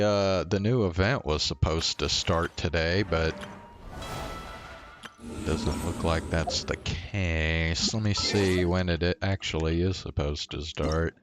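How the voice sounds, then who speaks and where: A man giving casual live gaming commentary in a laid-back way, trailing off in mumbled asides. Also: deep voice. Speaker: game livestreamer. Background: game music behind voice.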